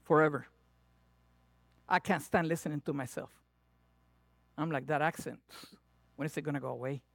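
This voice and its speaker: A male preacher with a Latin American Spanish accent delivers a lively sermon. His voice sits high for a man and sounds close and dry. He is playful and animated, swinging between loud, punchy emphasis and quiet, hushed asides. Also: normal speed, vocal fry, dry recording. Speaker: pastor.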